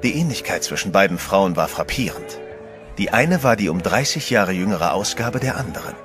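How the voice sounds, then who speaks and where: A man's voice: fairly low pitch, lively intonation, normal speed, close to an everyday voice. An actor playing a composed adventurer who narrates his own story in a horror radio play. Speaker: first-person narrator. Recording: music bed under the voice.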